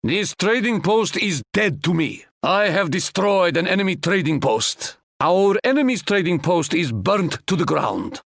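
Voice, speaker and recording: A man's voice: on the high side, creaky, a bit bright, no room sound, clean studio sound, full character voice. An actor voicing a proud, stately Ottoman sultan in a video game, speaking formally and quoting proverbs. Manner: formal, measured, fond of proverbs.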